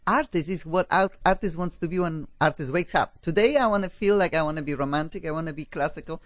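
A woman's voice: sits low, fast, dry recording. A woman hosting a talk show interview, gushing and enthusiastic, with many fillers. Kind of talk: effusive interview chat. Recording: dull lo-fi, dry.

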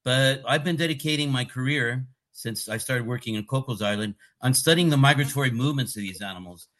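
A man's voice: mid-range voice, a bit bright. A man in his sixties with a Californian accent talks as an interview guest. He explains things earnestly and lands his points with emphasis. His voice sits in an ordinary middle register. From Costa Rica. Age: 60s. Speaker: guest, marine conservation scientist. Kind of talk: casual interview talk.

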